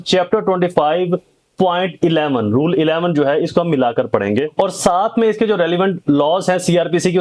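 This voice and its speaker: Male lecturer, Pakistani accent, moderately high pitch, methodical instructive delivery with English terms mixed in. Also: darkish tone. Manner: code-switches between Urdu and English terms. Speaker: host of a legal education channel, lecturer. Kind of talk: explanatory legal lecture.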